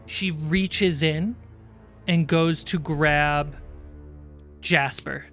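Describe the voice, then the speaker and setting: Slow, deliberate game master over music. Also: medium pitch, fairly bright tone. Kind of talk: narrating a tabletop roleplaying game livestream.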